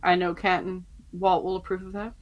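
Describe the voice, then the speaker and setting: A woman chatting casually as a podcast panelist, telling stories in an animated, mock-stern way. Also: lowish voice, fairly quick, highs cut off. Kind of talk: casual chat.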